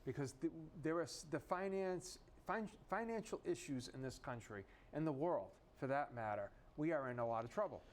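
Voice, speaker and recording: A man's voice: fairly high pitch, expressive, normal speed, hardly any pauses, slight background noise. Opinionated man asking rhetorical questions. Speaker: talk show co-host. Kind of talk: casual political chat.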